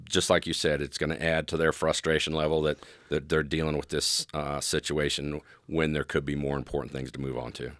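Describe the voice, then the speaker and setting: A man with an extremely low voice answers as an expert guest in a conversational interview. He is agreeable and measured, hedging and restarting as he explains. The sound is dry, with almost no room. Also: flat delivery, fairly bright tone, no room sound.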